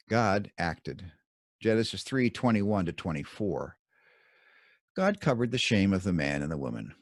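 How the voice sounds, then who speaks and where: A man reading his own scripted scripture commentary in a measured, emphatic teaching manner. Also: on the low side, dry recording, studio quality.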